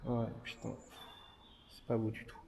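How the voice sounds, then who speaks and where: A man's voice: mid-range voice, completely flat delivery, a little slow, creaky. A man exploring an abandoned industrial building, talking hesitantly with many fillers and calling out to spirits. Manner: hesitant, many fillers, drops to hushed murmurs. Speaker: paranormal investigator. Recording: echoey hall with faint noise.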